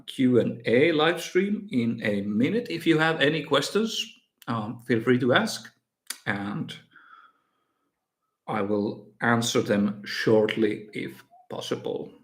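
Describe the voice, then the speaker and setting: A man's voice: medium pitch, animated, takes it slowly. Finnish man with hesitant stream chatter. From Finland. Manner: hesitant, many fillers and restarts. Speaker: miniature painting streamer. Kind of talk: casual live stream Q&A.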